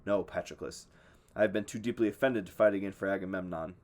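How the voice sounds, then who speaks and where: A man's voice: deep voice, fast talker, darkish tone. A man playing a proud, stern warrior hero.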